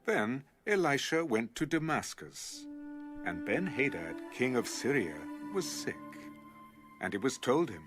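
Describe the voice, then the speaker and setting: A man's voice: mid-range voice, very animated, a little slow, over music. A man narrating a dramatized scripture audiobook as a scripted storyteller.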